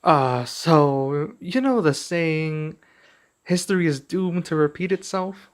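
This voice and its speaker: A man playing a young king and doting expectant father in an intimate ASMR roleplay, speaking softly and affectionately with some hesitation. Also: fairly high pitch, takes it slowly. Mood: playful, affectionate. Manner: soft, affectionate, hesitant delivery.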